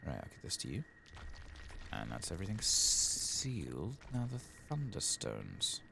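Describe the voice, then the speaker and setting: A man with an extremely low, creaky voice plays a game and mutters along casually. He speaks softly in an ASMR style and often slips into whispers. His pitch moves around in a lively way, and there is noticeable ambient noise behind him. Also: unhurried. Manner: soft-spoken, often drops into whispering. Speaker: host playing a video game. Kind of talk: soft-spoken ASMR game commentary.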